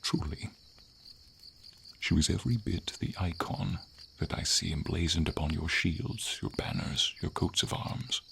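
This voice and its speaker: A gentle silver dragon, acted by a man, with a very deep voice full of gravel and breath. He speaks slowly and tenderly in formal, noble phrases, with a melancholy air suited to a fantasy ASMR roleplay. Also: a little hiss or hum, heavily acted. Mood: wistful. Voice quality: husky, gravelly, breathy low voice.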